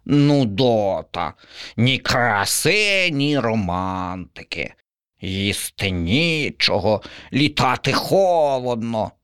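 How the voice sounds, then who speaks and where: A man's voice: medium pitch, sing-song, a bit bright, clear, resonant tone, no room sound, studio quality, pitched above the performer's own voice. A man doing a dramatic, dreamy female crow. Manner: drawn-out, grimacing, huffy.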